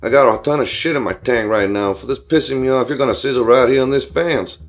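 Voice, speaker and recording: A man voicing a crude, volatile young frat-bro bully in an audio story, loud and slangy with menacing taunts. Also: fairly high pitch, fairly quick, faint music behind, pitched above the performer's own voice, close to an everyday voice. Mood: aggressive.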